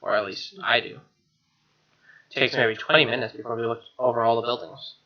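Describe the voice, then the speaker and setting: A man reading a visual novel's narration aloud as a let's play host, wry and expressive, over faint music. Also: mid-range voice, a little fast, lo-fi sound. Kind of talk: reading game narration with asides. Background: game music.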